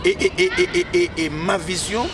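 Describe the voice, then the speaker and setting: A man's voice: high-pitched, huge pitch swings, normal speed. A man with a Congolese French accent, a pastor speaking earnestly in an interview and repeating phrases for emphasis. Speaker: pastor, president of a pastors' association. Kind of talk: interview answers, preaching tone. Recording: distant mic with loud traffic noise outdoors.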